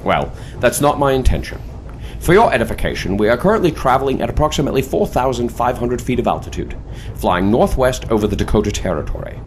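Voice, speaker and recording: An actor playing a suave, mysterious airship commander, formal and dryly teasing, in an audio drama. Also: male voice, medium pitch, very animated, quick pace, slight background noise. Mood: suave, courteous.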